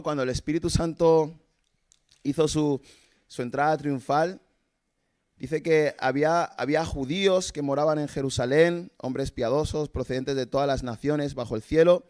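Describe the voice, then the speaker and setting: A man's voice: fairly high pitch, steady tone, moderate pace. Man preaching casually. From Cameroon. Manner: informal, asks rhetorical questions, chuckles between points. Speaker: evangelist preacher. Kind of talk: conversational sermon. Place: church service.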